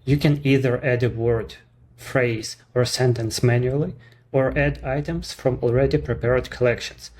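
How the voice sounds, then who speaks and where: Ukrainian-accented man explaining software calmly. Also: medium pitch. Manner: measured, explanatory, slightly non-native phrasing. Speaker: app creator presenting his service. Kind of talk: screen-recorded software walkthrough.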